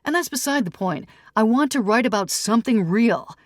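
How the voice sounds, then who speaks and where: An audiobook figure of a defensive male skeptic writer, acted by a woman. He argues and pleads earnestly, pitched well up and pushed forward in a close, dry recording. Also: expressive, normal speed, no echo. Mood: indignant, protesting. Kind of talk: audiobook character dialogue.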